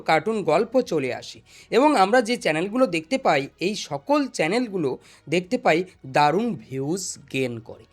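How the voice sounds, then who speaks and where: A young man guides listeners step by step as a tutorial presenter. His voice sits high for a man and moves up and down in an animated way. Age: young adult. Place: small indoor desk studio. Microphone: desk microphone.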